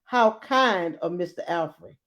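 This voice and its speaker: A woman's voice: on the low side, lively intonation, takes it slowly, a bit bright. A woman voicing a practical, loving grandmother in a children's storybook, firm, plain-spoken and kindly. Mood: grateful.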